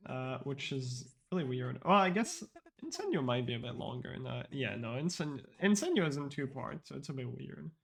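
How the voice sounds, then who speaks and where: Casual: guy talking thru his speedrun live, kinda higher voice, loose and rambly. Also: gravelly. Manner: casual, explanatory, frequent fillers and restarts.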